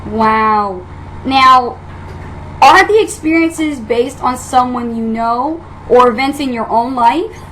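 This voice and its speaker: A woman's voice: high-pitched, slow pace, fairly bright tone, slight background noise, rough recording. A woman hosting an interview show, enthusiastic and familiar with her guest. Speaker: talk show host.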